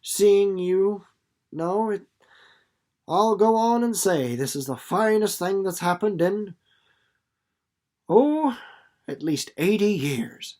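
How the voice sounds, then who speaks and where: A woman voicing a gentle, sad old country man who speaks in earnest, halting rustic dialect for a storybook. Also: mid-range voice, animated. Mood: solemn, wondering.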